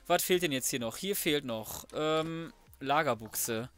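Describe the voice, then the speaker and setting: A man's voice: fairly high pitch, animated, unhurried, a bit bright, smooth, dry recording. A man giving casual, slangy gameplay commentary, chatting through each step as he goes. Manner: chatty, self-narrating, slangy. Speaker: let's player commentating.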